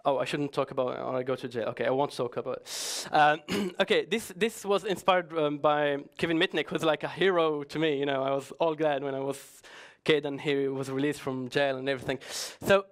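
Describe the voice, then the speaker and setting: Joking man giving a conference talk. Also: fairly high pitch. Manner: chatty, joking, hesitant, many restarts. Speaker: conference speaker.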